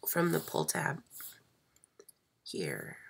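A woman who is sick and losing her voice, hosting a live stream craft demo at a table and talking slowly with many fillers and pauses. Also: low voice, huge pitch swings, gravelly, husky. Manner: slow, hesitant, many fillers, soft. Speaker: craft channel host. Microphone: overhead camera, hands only.

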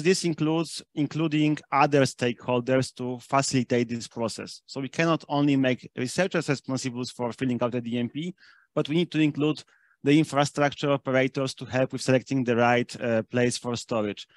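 A man's voice: medium pitch, fairly even intonation, normal speed, dry recording. Man presenting, close dry sound. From Austria (based there). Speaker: conference presenter. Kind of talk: technical presentation with slides.

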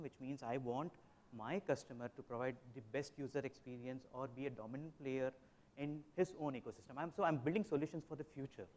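A man in his forties speaking on a conference panel in a large hotel hall, telling examples with emphatic, quick delivery into a handheld mic. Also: medium pitch, darkish tone. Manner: fast, emphatic, storytelling with examples.

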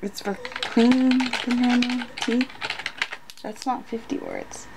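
A woman's voice: a little high, steady tone, slow, noisy background. A woman doing an ASMR roleplay as a flustered student, muttering hesitantly to herself, repeating words and often slipping into whispers. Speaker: ASMR roleplayer acting as an unprepared student taking a quiz.

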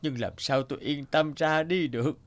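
A man voicing a gentle, weary elderly father in an animated folk tale, speaking slowly and admonishingly. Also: fairly high pitch, lightly acted. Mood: sorrowful. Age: older. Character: elderly widowed father, weary, gentle, worried about quarrelling sons.

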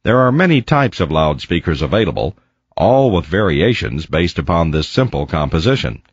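A man reading a scripted educational narration in a measured, precise, didactic way. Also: sits low, sing-song, slightly rough, dry recording, dull audio. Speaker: instructional video narrator. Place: close, dry voice-over recording.

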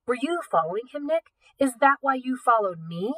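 A woman's voice: high-pitched, sing-song, normal speed, sharp tone, dry recording, pitched above the performer's own voice, exaggerated voice. Woman reading as a wry, probing teenage girl thief. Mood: wry, accusing.

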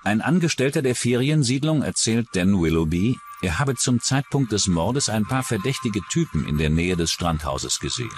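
Low-pitched male true-crime documentary narrator. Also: big pitch swings, moderate pace, hardly any pauses, a little hiss or hum.

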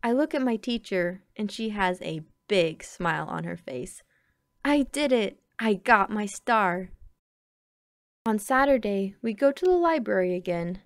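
A woman reading a children's picture book aloud with expressive, deliberate phrasing. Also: medium pitch, dry recording, clean studio sound. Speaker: storybook reader.

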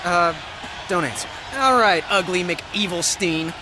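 An actor playing a young, quippy superhero in a video game, sarcastic and exasperated. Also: male voice, sits high, a little slow, noisy background. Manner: sarcastic, wisecracking.

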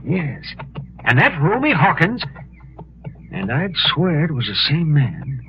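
A man's voice: fairly high pitch, wildly swooping pitch, a little slow, heavily acted. A folksy old west townsman, talkative, voice jumps around a lot, hissy old radio show. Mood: earnest. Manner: folksy, chatty. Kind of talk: 1950s radio western acting. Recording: dull, hissy old 1950s radio recording.

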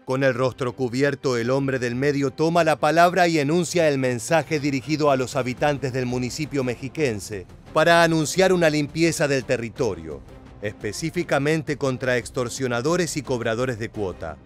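A man giving scripted voice-over narration at a steady, measured pace. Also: medium pitch, soft background music. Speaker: documentary narrator.

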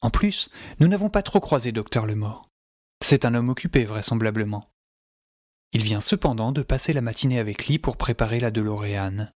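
A man's voice: mid-range voice, animated, normal speed, a little mellow, no echo, close to an everyday voice. A man playing a casual, wry young hero who narrates his adventure in an audio drama. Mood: reflective.

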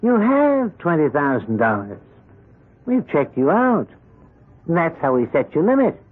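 An actor playing a smooth, menacing bookie with a coolly insistent, politely threatening manner in a 1970s radio drama. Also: male voice, on the high side, very animated, unhurried, a little hiss or hum. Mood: coolly businesslike. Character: smooth, menacing male bookie and debt collector. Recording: tinny band-limited old radio broadcast.

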